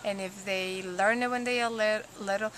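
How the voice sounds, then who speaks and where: A woman's voice: mid-range voice, fairly even intonation, moderate pace, fairly bright tone, a little hiss or hum. A woman from Ecuador who teaches Spanish, answering interview questions with enthusiasm and many fillers.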